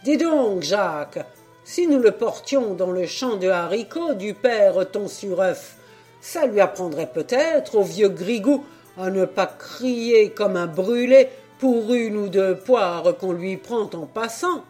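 A woman reading a story, voicing a rough, tipsy male sailor who talks loudly in salty slang. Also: medium pitch, expressive, takes it slowly, soft background music. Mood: scheming. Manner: loud, gruff, full of sailor slang. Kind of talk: storybook character voice.

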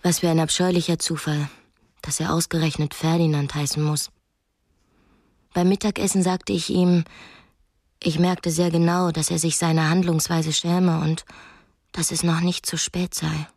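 A woman's voice: low-pitched, little pitch movement, normal speed, darkish tone, no room sound, clean studio sound. An actress playing a young, educated captive woman in a radio drama, anguished and defiant, speaking intimately and often breathily. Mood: brooding, despairing. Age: young adult. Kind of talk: radio drama acting, intimate diary monologue and dialogue.

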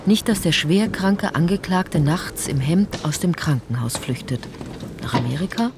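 An actress playing a wry, probing narrator and investigator in a radio drama, speaking in a dry, insistent way. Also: female voice, low-pitched, animated, a little slow, vocal fry, background noise, exaggerated voice. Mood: wry, ironic.

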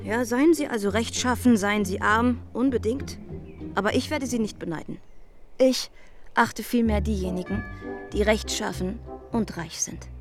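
An actress as a proud, witty young society lady in a period radio play. Her medium-pitched voice is playful and arch, teasing with worldly confidence over faint music. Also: normal speed, close to an everyday voice. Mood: provocative, proud. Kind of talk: German radio drama acting.

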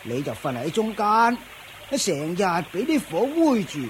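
Pompous forest master, radio play, swooping intonation, lecturing grunts, faint noise. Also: male voice, high voice, sing-song. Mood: instructing. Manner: lecturing, punctuated with drawn-out fillers and grunts. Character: pompous, strict Taoist-style master of a forest of ascetics. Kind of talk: Cantonese Buddhist radio drama acting.

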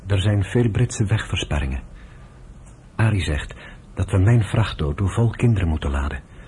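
An actor playing a polite, businesslike villager and underground fighter, speaking courteously and matter-of-factly in a radio drama. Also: male voice, sits low, lively intonation, moderate pace, warm tone, a little hiss or hum. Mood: polite, urgent.

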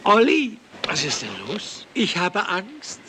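A man's voice: sits high, very animated, slow, exaggerated voice. A man voicing a timid, whiny, childlike comedy sidekick in an old dubbed film. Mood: anxious, plaintive. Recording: noisy old film soundtrack.

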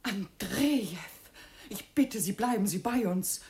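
An actress playing a governor's anxious, desperate wife in a radio drama, imploring with great emotion. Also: female voice, mid-range voice, measured pace, a little mellow, close to an everyday voice. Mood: desperate pleading. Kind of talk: German radio drama acting.

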